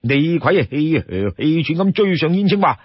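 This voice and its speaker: A man with a Cantonese accent narrating a story dramatically, expressive and posing rhetorical questions to his listeners. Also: a little high, normal speed, no room sound, highs cut off.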